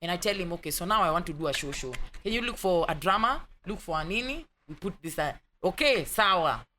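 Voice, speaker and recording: A young Kenyan woman talks through her stories in an animated way, slipping Swahili words into her English and acting out the people in them. Her voice is bright and clear. A faint hiss sits under the recording. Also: on the low side, a little fast. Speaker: actress guest. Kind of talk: casual storytelling interview.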